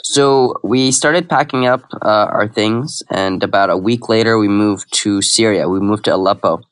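A man's voice: fairly low pitch, normal speed, fairly bright tone, no echo, low-quality recording. A young man from Iraq, a guest in an interview, recounting his past in a reflective way with frequent restarts. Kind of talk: personal storytelling in an interview.

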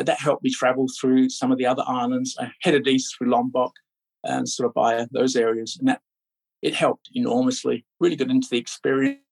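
A middle-aged man born in Scotland and raised in Australia, a podcast guest chatting in a relaxed, rambling way with many fillers. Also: mid-range voice, gravelly, breathy edge, poor audio. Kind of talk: casual interview chat.

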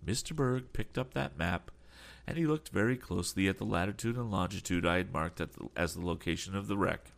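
A man voicing a calm, polite older sea captain who keeps adding apologetic asides. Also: low voice, a little fast, vocal fry, pitched above the performer's own voice.

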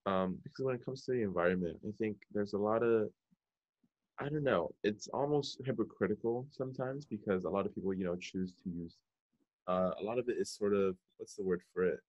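A man's voice: fairly low pitch, fairly quick, darkish tone, highs cut off. A young American man hosting a podcast, chatting casually and feeling his way through questions and thoughts. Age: young adult. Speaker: podcast host. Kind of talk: casual interview chat.

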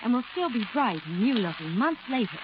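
A woman's voice: fairly high pitch, sing-song, brisk. A woman with an American accent delivering scripted fashion copy in a 1940s radio style. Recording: muffled, faintly noisy 1940s radio broadcast.

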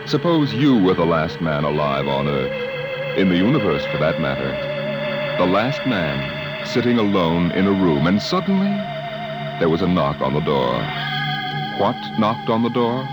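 A man with a deep voice hosts an old radio thriller with suspenseful, theatrical narration. His delivery is slow and deliberate, set against prominent dramatic music. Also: sing-song. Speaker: announcer and host. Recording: old radio broadcast recording.

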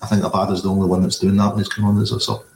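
A man's voice: lowish voice, flat delivery, very fast, gravelly, a little mellow, a little hiss or hum. Rambling Scottish man in an echoey room. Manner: rambling, repeats words, many you-knows. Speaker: podcast co-host. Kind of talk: casual football podcast chat. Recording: echoey room with faint ambience.

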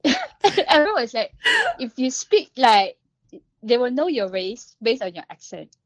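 A woman's voice: a little high, big pitch swings, a little slow, dry recording, low-quality recording. A woman from the Philippines calling in on the phone, with a Singaporean English accent mixed with Filipino, hesitant and switching languages. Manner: code-switches, hesitant, laughs often. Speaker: caller. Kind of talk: casual call-in chat. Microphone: phone call.

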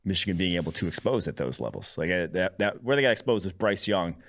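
A man's voice: low-pitched, sing-song, fast, a bit bright. A man hosting a sports podcast, giving casual, conversational analysis of games.

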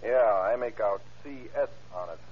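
An actor playing a plain-spoken, obliging police sergeant, matter-of-fact, in a mid-century radio crime drama. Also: male voice, fairly low pitch, normal speed, lightly acted. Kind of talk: 1940s-50s radio crime drama acting. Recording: dull vintage radio recording.

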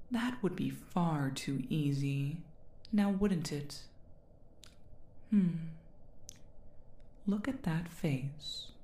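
Female performer as demon queen for ASMR roleplay: slow, mellow, imperious, teasingly menacing. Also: low-pitched, echoey room. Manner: imperious, condescending, theatrical, drawn-out.

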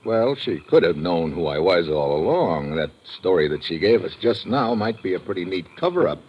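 A man's voice: low-pitched, big pitch swings, normal speed, slightly rough, heavily acted. An actor playing a wisecracking, sardonic American adventurer who narrates his own story in a mid-century radio drama. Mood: dry, guarded. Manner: sardonic, slangy. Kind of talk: 1940s-50s radio adventure acting, first-person narration. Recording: dull old radio recording with loud music.

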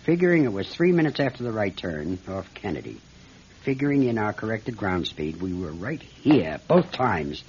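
Terse, troubled airline captain, muffled recording. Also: male voice, on the low side, big pitch swings, normal speed, darkish tone. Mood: troubled, intent. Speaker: actor in a radio drama. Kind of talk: old-time radio drama acting. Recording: noisy muffled radio drama recording.

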